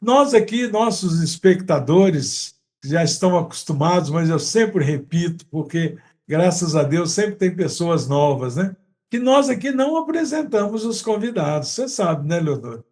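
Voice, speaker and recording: A man's voice: sits high, expressive, a little slow, darkish tone. A man with a Brazilian accent hosting an interview podcast in an effusive, courteous way. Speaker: podcast host. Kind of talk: chatty interview.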